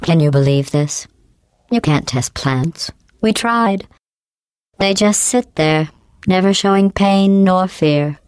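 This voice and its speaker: A woman voicing a cold, sarcastic female AI overseer in a video game, talking in a deadpan, condescending, passive-aggressive way with robotic processing. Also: on the low side, takes it slowly, smooth, no room sound. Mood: deadpan, mocking. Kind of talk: game voice clips.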